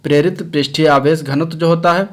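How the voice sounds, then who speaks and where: Male science teacher, voice a little high, didactic exam-prep lecturing from notes with regular check-ins. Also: steady tone, brisk. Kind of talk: explanatory lecture read from notes.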